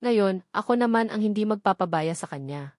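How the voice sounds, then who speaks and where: Woman as calm, protective male politician. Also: mid-range voice, normal speed, dry recording, studio quality, lightly acted. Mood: resolute.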